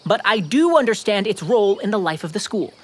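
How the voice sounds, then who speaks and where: A woman's voice: medium pitch, animated, fairly quick, husky. A woman playing an earnest, pompous middle-school boy detective in an audio drama, speaking in formal, bookish words. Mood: determined. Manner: formal, bookish vocabulary, self-important. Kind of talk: scripted audio drama acting and narration.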